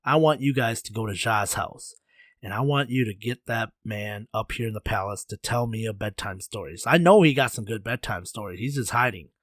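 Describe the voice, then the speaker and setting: Male storyteller as excitable folktale king, bossy, blustery, slangy, dry close sound. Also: lowish voice, moderate pace, dry recording.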